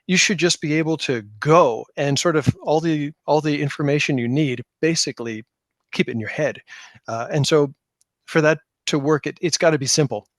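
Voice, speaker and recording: A man's voice: a little high, moderate pace, no room sound. A middle-aged man talking as an interview guest, explaining his work in a casual, self-deprecating way. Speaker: podcast guest, tabletop game designer.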